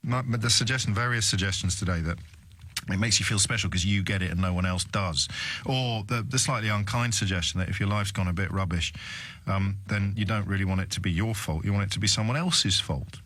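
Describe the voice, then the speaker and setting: A man's voice: lowish voice, fairly quick, fairly bright tone, dry recording. A man hosting a radio phone-in, talking emphatically and wryly while questioning callers. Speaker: radio host.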